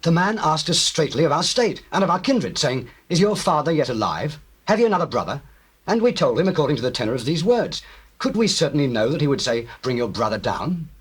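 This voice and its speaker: An actor playing a troubled, guilt-ridden brother in a dramatized scripture, speaking in agitated, formal phrases. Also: male voice, a little high, very animated, brisk, breathy edge. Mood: defensive. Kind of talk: dramatized scripture, theatrical acting.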